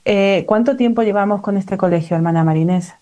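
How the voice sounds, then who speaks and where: A woman's voice: medium pitch, brisk, warm tone, muffled recording. A woman hosting a conversational interview, affirming her guests as she listens and guides the talk. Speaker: interview host.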